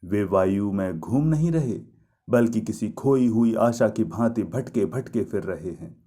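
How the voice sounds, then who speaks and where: A man's voice: fairly low pitch, expressive, a little slow, warm tone. A man voicing a lovelorn, reproachful young woman in a mythological story, speaking in a poetic, pleading, emphatic way.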